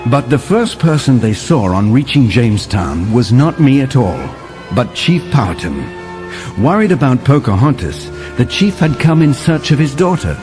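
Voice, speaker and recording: Settler narrator for kids' read-along , male actor: very animated, vivid, dramatic pauses, clearly audible music underneath. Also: mid-range voice, natural voice. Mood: warm telling. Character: male settler storyteller recounting a winter adventure to John. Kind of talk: dramatic children's story narration.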